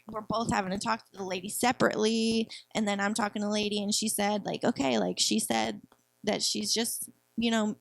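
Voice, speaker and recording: A young woman with a high-pitched voice, co-hosting a podcast and telling a story in casual chat, laughing as she talks. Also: vocal fry, no echo, poor audio. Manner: chatty, laughs while telling. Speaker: podcast co-host.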